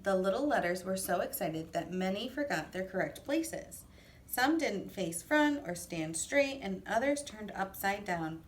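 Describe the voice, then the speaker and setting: A woman reading a children's story aloud in an expressive, deliberate way. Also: medium pitch. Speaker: storybook reader. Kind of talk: children's read-aloud narration. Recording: echoey room, distant mic, faint noise.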